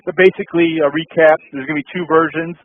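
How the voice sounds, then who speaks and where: A man runs a business update call over a tinny phone line, his voice sitting a little high for a man. He talks casually, fills gaps with ums and uhs, and circles back to repeat points. The sound is dry and close. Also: steady tone, fast, dry recording. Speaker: host of a dealer update call.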